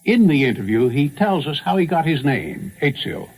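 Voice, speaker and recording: A man's voice: fairly high pitch, sing-song, moderate pace, darkish tone, rough recording. Male radio host presenting a music program. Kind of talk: scripted broadcast narration.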